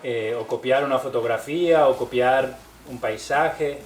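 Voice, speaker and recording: A man in his forties, from Spain, talking about his painting in a relaxed, thoughtful way with many fillers. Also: mid-range voice, measured pace, soft background music. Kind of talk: casual documentary interview.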